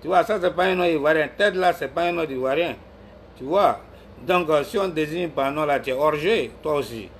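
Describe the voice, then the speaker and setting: A man's voice: fairly high pitch, a little fast, slight background noise. A man from Ivory Coast giving heated political commentary on a live stream, arguing with viewers and calling them out by name. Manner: argumentative, scolds viewers by name, repeats himself.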